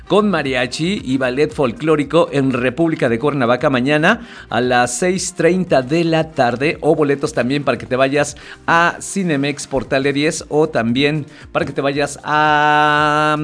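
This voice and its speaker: A man's voice: fairly high pitch, fairly bright tone. A man with a Mexican accent hosting a casual morning radio show, chatting with listeners and repeating phone numbers. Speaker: radio host. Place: radio studio.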